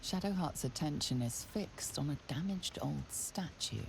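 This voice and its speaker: A woman narrating a game in a measured, descriptive tone. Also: low-pitched, animated, creaky, exaggerated voice. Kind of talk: scripted narration. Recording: noisy background.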